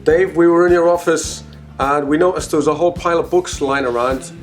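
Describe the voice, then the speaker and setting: A man's voice: a little high, steady tone, fairly quick, faint music behind, close to an everyday voice. A man voicing a polite, earnest Mexican businessman in a mock Mexican accent. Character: polite Mexican businessman asking for book tips.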